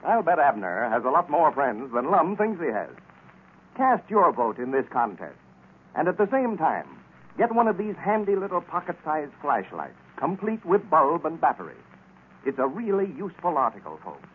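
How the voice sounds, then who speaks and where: Animated old-time American radio announcer, male. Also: a little high, moderate pace. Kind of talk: scripted 1930s radio advertising announcement. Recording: tinny, noisy old radio recording.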